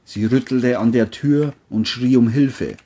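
A man's voice: mid-range voice, measured pace, mellow. A man narrating a scripted crime story as an audiobook-style reading.

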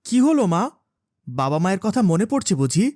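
A woman's voice: lowish voice, very animated, a little fast, warm tone, no room sound, studio quality. A woman voicing a caring, pious father with an emphatic manner in a children's moral cartoon. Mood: concerned.

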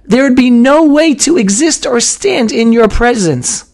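A hoarse man in his forties teaching in a casual live stream from a small room at home, talking emphatically and often repeating himself. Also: very high voice, a little slow. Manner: animated and emphatic, with frequent repeats and restarts. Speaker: video host teaching about prayer. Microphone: webcam, close framing.

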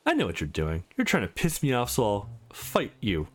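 A man's voice: on the low side, huge pitch swings, normal speed, a bit bright, pitched below the performer's own voice, exaggerated voice. A man voicing a terse, brooding young man who trains to fight in a visual novel. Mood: irritated. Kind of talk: visual novel dialogue and inner monologue read aloud.

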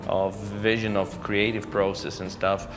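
A man in his thirties from Ukraine with a Ukrainian accent, a touring singer-songwriter speaking in halting non-native English, searching for his words. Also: fairly low pitch, flat delivery, over music. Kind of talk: casual interview in English. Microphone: studio microphone.

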